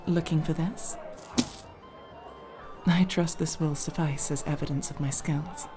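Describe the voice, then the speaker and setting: Casting: actress as young female elf thief for audio roleplay. Slightly low register, formal and proper diction, anxious yet proud, music underneath. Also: steady tone, unhurried, dark tone. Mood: cool, persuasive. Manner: formal, wordy, prim diction with hesitations. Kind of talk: ASMR roleplay acting.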